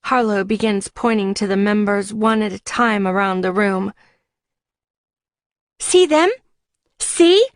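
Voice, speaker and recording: A woman's voice: mid-range voice, little pitch movement, normal speed, few pauses, no echo, studio quality. A woman reading scripted first-person fiction as an audiobook narrator.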